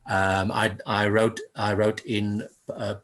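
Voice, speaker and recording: A man's voice: fairly low pitch, flat delivery, slow pace, a bit bright. A man from South Africa whose first language is Afrikaans, a poet guest in an interview, talking hesitantly with many fillers and restarts. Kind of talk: conversational interview.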